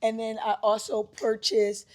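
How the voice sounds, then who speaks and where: A woman vlogging casually from her home, her voice still hoarse from a recent cold, chatty and upbeat with frequent "like" and "um". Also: fairly high pitch, completely flat delivery, a little slow. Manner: chatty, upbeat, says "like" and "um" often. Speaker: lifestyle vlogger. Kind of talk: casual chat to camera. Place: at home, indoors.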